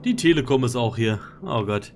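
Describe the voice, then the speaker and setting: A man's voice: mid-range voice, animated, brisk. A native German man giving casual commentary as he plays a video game. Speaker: let's player. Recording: game music behind voice.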